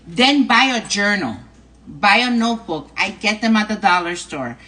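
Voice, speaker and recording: A woman's voice: medium pitch, animated, normal speed, pushed and bright, slight background noise. A middle-aged woman from New York with a New York accent, chatting casually as she hosts a live stream of advice, often tagging her sentences with "right?" and "okay?". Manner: chatty, repetitive, tags lines with "right?" and "okay?". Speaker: host.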